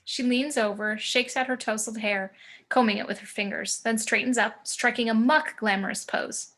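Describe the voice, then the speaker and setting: A woman reading screenplay action and scene descriptions in a measured, descriptive manner. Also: a little high, steady tone, fairly bright tone. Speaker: narrator.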